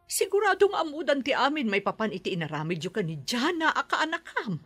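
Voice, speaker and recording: A gang boss's anxious wife, urgent and scolding. Her husky voice rises and falls a lot, pitched a little high. It is a radio drama performance. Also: sing-song, brisk, a bit bright, faint music behind, exaggerated voice.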